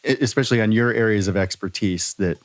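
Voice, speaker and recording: A man hosting a podcast in casual conversation, earnest and halting, often repeating himself for emphasis on a close microphone. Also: fairly low pitch, no room sound. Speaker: podcast host.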